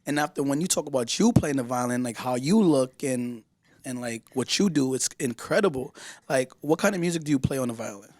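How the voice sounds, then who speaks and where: A man's voice: mid-range voice, expressive, a little fast, darkish tone, no echo, clean studio sound. A man hosting a radio interview show, playful and hyped, sometimes stumbling over his words. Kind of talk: casual interview chat.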